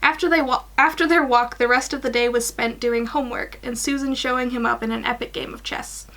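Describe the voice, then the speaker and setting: A woman's voice: on the high side, steady tone, a little fast, a bit bright. A woman in her twenties reading a fan story aloud and chatting informally in a small room at home. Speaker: guest reader on a fan fiction livestream.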